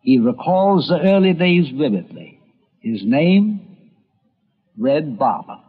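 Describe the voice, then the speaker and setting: A man's voice: a little high, very animated, takes it slowly, breathy edge. A man narrating a scripted documentary as host, in a folksy, dramatic storytelling manner. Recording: muffled, noisy old tape.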